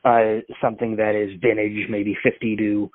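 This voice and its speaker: A man's voice: on the low side, level tone, moderate pace, no echo. Rambling man on a tinny phone line. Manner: rambling, full of fillers and restarts. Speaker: prank caller. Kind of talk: prank phone call.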